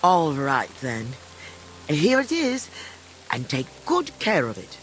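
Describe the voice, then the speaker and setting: Slow, wistful old village widow. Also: female voice, low-pitched, huge pitch swings, slight background noise, exaggerated voice. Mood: touched, delighted. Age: older. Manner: wistful, halting, fond reminiscing. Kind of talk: dramatic video game dialogue.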